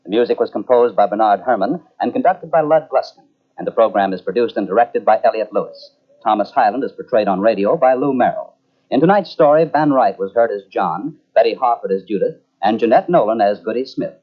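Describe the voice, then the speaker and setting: A man's voice: fairly low pitch, a little fast. A man formally reading the credits as an old-time radio announcer. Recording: muffled old radio broadcast.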